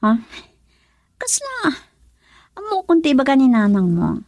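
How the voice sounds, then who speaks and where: A woman's voice: high voice, wildly swooping pitch, a little slow, darkish tone, no room sound, heavily acted. An actress playing a greedy, scheming young woman in an Ilocano radio drama, taunting and theatrical, with an Ilocano accent. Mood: taunting, defiant.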